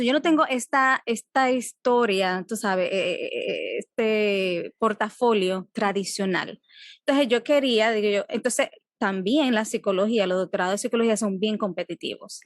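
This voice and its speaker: A young Dominican woman speaks reflectively in a relaxed interview, her Dominican Spanish accent plain as she restarts thoughts and drops in English phrases. Her voice sits at an ordinary middle pitch. The sound is close and dry, with no room around it. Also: moderate pace, no room sound. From Dominican Republic. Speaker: guest, psychologist. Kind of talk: casual interview conversation.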